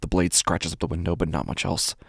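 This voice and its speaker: An actor playing a menacing, nihilistic street tough in a first-person horror podcast monologue, brooding and deliberate, with a gravelly voice and heavy pauses. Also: male voice, low voice, steady tone, a bit bright, dry recording, studio quality, exaggerated voice. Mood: bitter, dismissive. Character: menacing, drugged, nihilistic male street tough with violent urges. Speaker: horror fiction podcast narrator. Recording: music under the voice. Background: background music.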